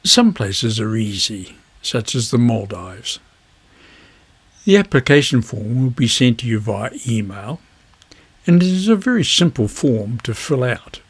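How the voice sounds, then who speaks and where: A man with a husky voice, presenting an instructional vlog in measured phrases. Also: mid-range voice, sing-song. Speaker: amateur radio video host.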